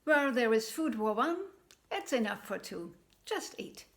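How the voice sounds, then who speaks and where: A woman's voice: on the high side, very animated, unhurried, fairly bright tone, exaggerated voice. A woman voicing a poor, cheerful man, a cobbler who laughs off his worries and trusts each day to come, in a storybook tale. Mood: easygoing. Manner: laughing, easygoing, unworried.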